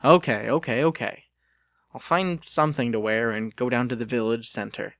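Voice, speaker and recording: A man's voice: mid-range voice, moderate pace, fairly bright tone, no room sound, clean studio sound, close to an everyday voice. A man voicing an earnest, overly polite young mechanic with courteous, formal manners in a dramatic audiobook. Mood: giving in. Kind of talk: dramatic audiobook character reading.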